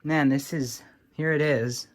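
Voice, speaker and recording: A man's voice: a little high, fairly even intonation, measured pace. A man giving casual, unscripted show-and-tell commentary in a dry, wry manner, like a hobbyist vlogger. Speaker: vlogger showing old radio repairs.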